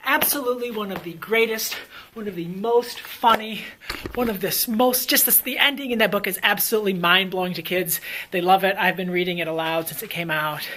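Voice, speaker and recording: An American man with a bright, forceful voice talks casually, like a teacher vlogging. He is enthusiastic and leans on repetition to drive points home. There is faint ambient noise behind him. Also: high voice, a little fast. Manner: enthusiastic, emphatic, repeats himself for emphasis. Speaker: elementary school teacher and book reviewer. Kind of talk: casual vlog book recommendations.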